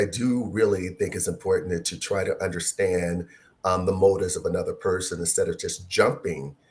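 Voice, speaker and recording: A man moderating an online panel discussion, speaking in a measured way with frequent ums. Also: low-pitched, darkish tone.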